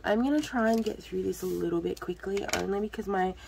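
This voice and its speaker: Congested woman with a gravelly voice. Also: medium pitch, quick pace, noisy background. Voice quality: throat a bit off, gravelly. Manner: rambling, lots of ums and restarts. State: lingering cough and congestion after illness. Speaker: vlogger, budgeting channel host. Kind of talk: casual chatty vlog, talking to viewers.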